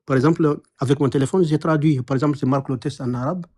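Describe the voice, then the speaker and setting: A man's voice: fairly high pitch, fairly even intonation, fast talker, darkish tone. A man calling into a live debate, hesitant and defensive, stammering as he answers. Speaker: caller.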